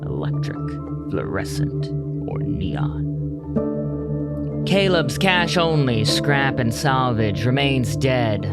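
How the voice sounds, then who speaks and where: A man giving measured, portentous scripted narration for an audio drama. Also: mid-range voice, animated, loud music behind the voice.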